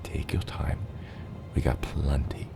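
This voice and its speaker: A man playing a gentle giant father dragon patiently teaching his hatchling in a hushed, reassuring ASMR roleplay, his voice breathy and gravelly. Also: completely flat delivery, slow, full character voice. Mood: warm, encouraging. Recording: loud low rumbling noise.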